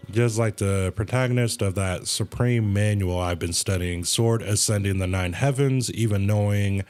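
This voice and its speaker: A man's voice: on the low side, normal speed, darkish tone, clear, resonant tone, faint music behind, lightly acted. A man voicing a pompous, bickering temple guardian from a fantasy game in a theatrical, grandiloquent way. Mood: indignant, lofty. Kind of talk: reading game dialogue aloud.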